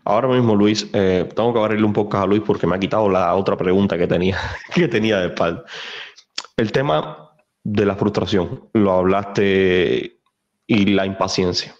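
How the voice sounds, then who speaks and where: 30s guy hosting a sports podcast with a headset, lowish voice, kinda muffled audio. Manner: quick, reacting with short "wow" interjections. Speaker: podcast host. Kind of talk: interviewer. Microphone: headset on a video call.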